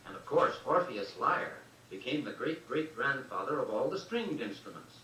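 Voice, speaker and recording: A man narrating a scripted children's story in a dramatic, deliberate way, on an old 78 rpm record. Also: mid-range voice, lively intonation, roomy sound, recorded from a distance, heavy background noise. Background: orchestral music.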